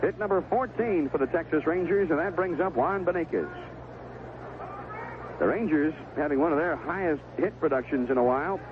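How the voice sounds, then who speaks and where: An American man calling baseball play-by-play on the radio, in clipped phrases with conversational asides between pitches. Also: moderate pace. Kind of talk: live sports commentary. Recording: vintage 1970s AM radio broadcast, tinny, noisy.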